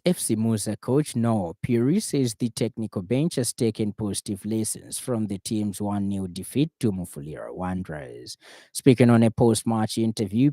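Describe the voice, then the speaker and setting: Mellow low-voiced Zambian newsreader man. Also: dry recording, clean studio sound. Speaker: radio news reader. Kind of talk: scripted news bulletin reading. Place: radio studio.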